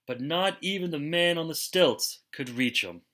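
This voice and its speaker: Male storybook reader for young children, unhurried and forceful, with expressive, dramatic delivery. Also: a little high. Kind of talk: expressive children's picture book read-aloud.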